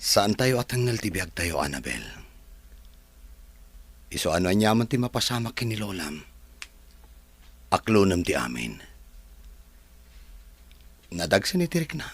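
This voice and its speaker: A grave, consoling man in a radio drama, as a man acts him out. His voice is deep and husky, with a lot of rise and fall. The sound is dry and close. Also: big pitch swings, measured pace, long pauses, no echo, exaggerated voice. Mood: solemn. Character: grave middle-aged man, Marshal.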